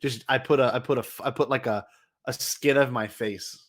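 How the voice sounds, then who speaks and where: A man's voice: mid-range voice, steady tone, moderate pace, fairly bright tone. A man hosting a podcast in casual, joking chat, rambling and playfully hyping things up. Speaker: podcast host.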